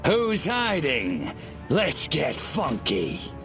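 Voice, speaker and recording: An actor voicing a small, evil alien invader in a video game, cocky, sarcastic and scornful in a theatrical way. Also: male voice, a little high, huge pitch swings, extremely slow, full character voice. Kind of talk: video game cutscene acting. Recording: music under the voice.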